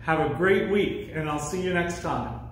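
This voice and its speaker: A man's voice: a little high, normal speed, a bit bright, slight background noise. An American man in his fifties explaining things simply and encouragingly, as a music leader guiding children through a sing-along. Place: church music classroom with a piano. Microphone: camera microphone a few feet away. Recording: very echoey room, camera-distance sound.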